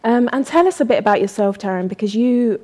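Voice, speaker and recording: A woman's voice: fairly high pitch, fast talker, darkish tone. A woman hosting an interview, speaking casually with many fillers and encouraging interjections. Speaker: podcast host.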